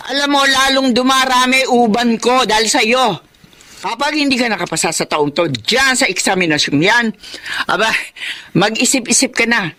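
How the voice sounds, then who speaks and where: Sharp, nagging old Filipina mother. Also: a little high, moderate pace. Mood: scornful, nagging. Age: older. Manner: scolding, exasperated, sharp-tongued. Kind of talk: Filipino radio drama acting.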